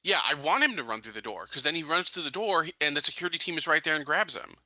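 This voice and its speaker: A man's voice: a little high, lively intonation, quick pace, bright, forceful tone, no room sound. A man casually talking through plans as a player in a tabletop roleplaying game. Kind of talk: casual planning discussion.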